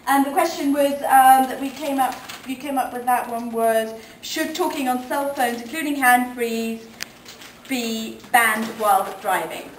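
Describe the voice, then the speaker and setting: A woman's voice: fairly high pitch, fairly even intonation, a little slow. A woman editing a radio show, leading an editorial meeting and asking questions with frequent ums. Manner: leads discussion, asks questions, hesitant ums. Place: conference meeting room. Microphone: distant room mic. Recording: echoey room from far off, faint noise.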